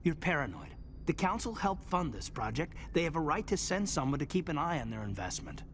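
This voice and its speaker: Level-headed, drily teasing officer. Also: male voice, a little high, very animated, brisk, fairly bright tone, a little hiss or hum. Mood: dry, reasonable. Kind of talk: video game cutscene acting.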